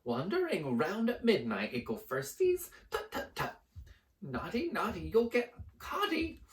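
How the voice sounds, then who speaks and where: A man's voice: high voice, wildly swooping pitch, unhurried, distant mic, voice pushed up, exaggerated voice. A man voicing a mischievous male poltergeist who taunts in a sing-song way, in a roomy space. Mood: taunting. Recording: roomy echo.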